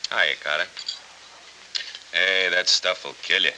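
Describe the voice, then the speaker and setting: An actor playing an easygoing, wry police lieutenant with a dry, folksy manner in a 1940s film noir. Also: male voice, low-pitched, fairly even intonation, slow pace, sharp tone, heavily acted. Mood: dryly amused. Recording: noisy old 1940s film soundtrack.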